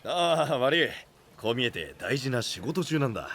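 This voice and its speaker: A man playing a rough-talking but big-hearted young guardian and gang boss in an anime voice drama, blunt and reassuring. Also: mid-range voice, big pitch swings, moderate pace, fairly bright tone, slight background noise. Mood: friendly, businesslike. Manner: blunt, tough street talk, reassuring.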